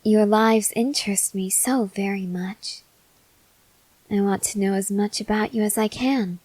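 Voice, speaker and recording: Female vampire lover for ASMR roleplay, played by a woman: possessive, softly threatening, unhurried delivery with whispered touches, dry close-mic sound. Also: medium pitch, fairly even intonation, no echo, lightly acted, low-quality recording. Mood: probing, affectionate. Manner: slow, measured, intimate, with breathy whispered moments. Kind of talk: ASMR roleplay acting, addressed to the listener.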